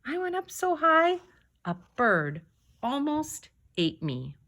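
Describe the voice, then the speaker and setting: A woman's voice: high voice, huge pitch swings, slow, fairly bright tone, voice pushed up, exaggerated voice. A woman voicing a playful young boy from the Arctic in a children's storybook. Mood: playful boasting.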